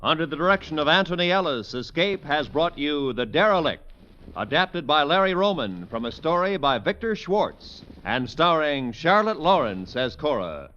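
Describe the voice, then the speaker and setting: An American man announces in polished vintage radio style. His voice is fairly high and swings with punchy promotional energy. The old recording is dull, with music behind. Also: big pitch swings, moderate pace, bright, forceful tone, a little hiss or hum. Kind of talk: 1950s radio announcing, scripted.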